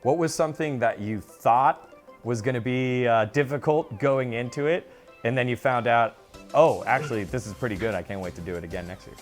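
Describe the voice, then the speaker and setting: A man in his thirties chatting casually and enthusiastically as an esports host, with an upbeat, animated manner. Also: medium pitch, brisk, soft background music. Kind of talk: casual enthusiastic interview chat.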